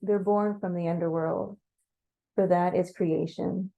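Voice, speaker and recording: A woman narrating a guided meditation as a story in the second person, conversational and playful, with gentle pauses. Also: fairly low pitch, steady tone, gravelly, phone-quality sound. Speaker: storyteller leading a guided visualization.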